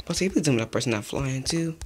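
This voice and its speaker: A young man giving casual, rambling commentary over his own gameplay, chatty and easily excited. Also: mid-range voice, fast talker, a little hiss or hum. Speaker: gaming youtuber.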